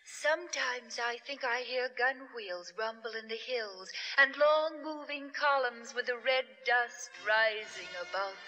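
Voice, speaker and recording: Devoted ghostly wife, drenched in reverb. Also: female voice, fairly high pitch, unhurried, hardly any pauses, bright, forceful tone. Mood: wistful. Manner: theatrical, earnest, lyrical. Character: soldier's tender, devoted wife, an imagined apparition. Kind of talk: 1950s radio drama acting. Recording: reverberant 1950s radio broadcast, faint music.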